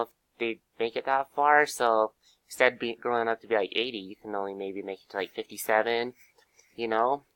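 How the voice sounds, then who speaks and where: Hoarse young man giving a class talk. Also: on the low side, sharp tone, dry recording, poor audio. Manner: casual, many fillers, pauses. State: hoarse from a cold. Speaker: student presenter. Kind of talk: class presentation.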